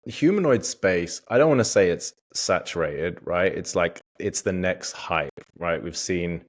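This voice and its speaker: A man hosting a podcast, asking questions in a relaxed, chatty way and agreeing often. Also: deep voice, a little slow. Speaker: podcast host. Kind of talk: casual interview talk.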